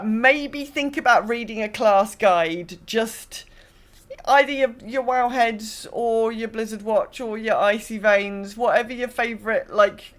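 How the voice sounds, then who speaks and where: A woman co-hosting a podcast, casually talking through news items. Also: mid-range voice. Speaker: podcast co-host.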